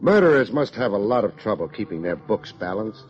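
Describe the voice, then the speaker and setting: A hard-boiled American private eye, wisecracks with a lively, swinging pitch and unflappable wit. It has the sound of a fifties radio drama, dull and lo-fi. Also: male voice, a little fast, soft background music. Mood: wry narration. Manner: wisecracking, deadpan. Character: wry, hard-boiled male private detective, unflappable and quick-witted. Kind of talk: 1950s American radio detective acting. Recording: dull lo-fi old radio recording.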